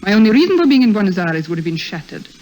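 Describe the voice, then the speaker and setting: An elegant, scheming society lady, played by a woman, speaks at a medium pitch. Her diction is poised and formal and her manner coy, in the style of old radio drama. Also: very animated, very fast, darkish tone, lightly acted, poor audio. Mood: coy, confiding. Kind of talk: 1950s radio drama acting.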